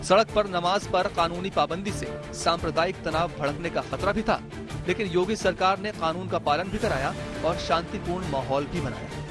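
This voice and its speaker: High-pitched male news narrator over loud music. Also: moderate pace. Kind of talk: scripted dramatic news narration.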